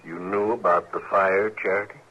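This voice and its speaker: A man with a rural American accent playing an earnest, shaken frontier settler in an old-time radio drama, talking in halting, repetitive, folksy phrases. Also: sits low, fairly even intonation, bright, forceful tone. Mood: surprised. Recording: muffled, faintly noisy vintage radio recording.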